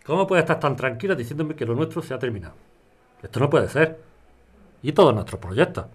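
A man's voice: medium pitch, expressive, brisk, husky. A man playing a husband pleading against a breakup in an audio drama. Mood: pleading, agitated.

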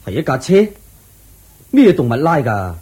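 A brusque, curious general. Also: male voice, on the high side, very animated, unhurried, a little mellow, husky, exaggerated voice. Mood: puzzled. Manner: questioning, brusque. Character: curious commanding male general. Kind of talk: radio drama acting.

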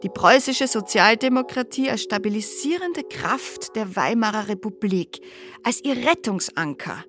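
An actress playing a keen expert in a radio drama, lecturing in emphatic, clipped phrases. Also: female voice, medium pitch, animated, measured pace, fairly bright tone. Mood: keen explaining. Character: keen woman expert explaining political history. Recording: clear music behind the voice.

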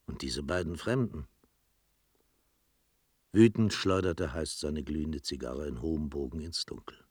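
A man narrating a literary radio drama in a measured, formal manner. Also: sits low, slightly rough, no room sound.

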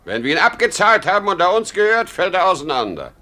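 A man's voice: high-pitched, big pitch swings, quick pace, sharp tone, heavily acted. An actor playing a boastful, insecure aging travelling salesman in a 1950s German radio drama, talkative and exclamatory. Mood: flustered. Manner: talkative, exclamatory, swinging from bragging to self-doubt.

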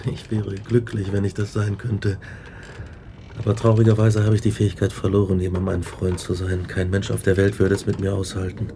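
A male actor portrays an exhausted, haunted man weighed down by guilt and despair. The voice is low, grave and anguished, in radio drama style. Clear ambient noise runs behind it. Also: completely flat delivery, brisk, lightly acted. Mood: sad, grateful.

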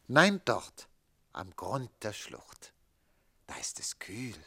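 Middle-aged dreamer, lowish forceful voice, weary and theatrical, radio play with slight noise. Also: male voice, wildly swooping pitch, slow, creaky, breathy edge, full character voice. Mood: dreamy. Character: weary, wistful male official lost in memories. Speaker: actor. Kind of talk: German radio drama acting.

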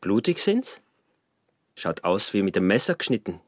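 An actor with an Austrian accent playing a cunning, insistent peasant smuggler and husband in a radio drama. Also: male voice, fairly low pitch, sing-song, normal speed. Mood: slyly polite. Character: sly scheming peasant smuggler, husband, early 1900s. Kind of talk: radio drama acting in dialect.

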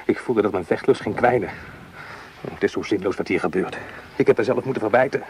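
An actor playing an anguished, protective French army corporal, once a farmer, with an earnest, deliberate delivery in a radio play. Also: male voice, lowish voice, close to an everyday voice. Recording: clearly noisy old radio play recording.

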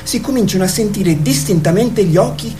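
A woman's voice: medium pitch, brisk, music in the background. A woman reading scripted narration for a radio theatre piece.

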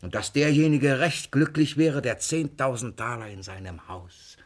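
A man's voice: medium pitch, normal speed, close to an everyday voice. An actor playing a miserly, suspicious old father in a classical comedy radio play, blustering and muttering in a theatrical way. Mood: muttering, wishful. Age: older.

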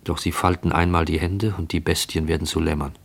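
Haunted, introspective man, radio play monologue, low-pitched, measured and fixated. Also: level tone, creaky, full character voice. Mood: brooding, fixated. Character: haunted man narrating his obsessive pursuit of a stranger.

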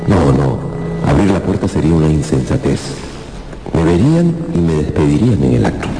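An anxious salesman turned giant insect in a radio drama. His very low, creaky voice mutters to itself with agitated indignation, echoing over loud music. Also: big pitch swings, brisk, full character voice. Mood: worried. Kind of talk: radio drama inner monologue. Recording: echoey, with loud music behind.

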